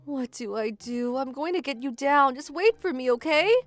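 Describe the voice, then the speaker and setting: A woman's voice: sits high, animated, moderate pace, soft background music. A woman playing a sweet, lovestruck teenage schoolgirl in a manga dub, speaking politely and earnestly. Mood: worried. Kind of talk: manga dub romcom acting.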